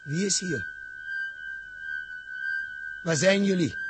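Very slow, forlorn space captain. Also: male voice, high voice, lively intonation, over music, heavily acted. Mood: bewildered, forlorn. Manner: halting, murmured, deliberate. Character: lonely, bewildered spaceship captain. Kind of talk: 1960s Dutch radio drama acting. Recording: noisy vintage radio recording with humming ambience.

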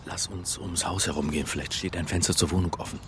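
An actor playing a harried, wry lecturer on the run in a radio crime play, dry in tone and prone to stammering when flustered. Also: male voice, low voice, fairly even intonation, fast, noisy background, close to an everyday voice. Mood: nervous, hushed. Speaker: first-person narrator and lead in a radio crime play. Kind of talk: German radio drama acting and narration.

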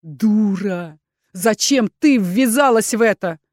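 A woman's voice: mid-range voice, animated, slow pace, a little mellow, no echo, studio quality. A woman voicing a cold, stern male duke and rector who interrogates with scornful, commanding authority. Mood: stern interrogation.

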